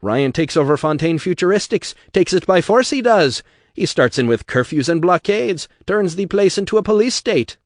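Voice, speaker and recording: A man's voice: sits high, animated, moderate pace, dry recording, studio quality, pitched above the performer's own voice. A man reading an audiobook voices a fiery male agitator with an Irish brogue, bellowing to a crowd.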